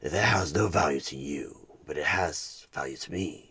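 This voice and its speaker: Man performing a husky, very deep devilish recruiter. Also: sharp tone, full character voice. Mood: haggling, condescending. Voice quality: gravelly, husky, often breaking into whisper. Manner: slow, deliberate, coaxing, condescending. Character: sinister devilish male recruiter, smug soul-buying tempter. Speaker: roleplay performer playing a job interviewer. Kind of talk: one-sided horror ASMR audio roleplay.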